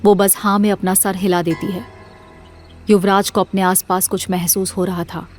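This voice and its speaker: A woman narrating a scripted horror story in a measured, dramatic storytelling manner. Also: medium pitch, flat delivery, darkish tone, soft background music.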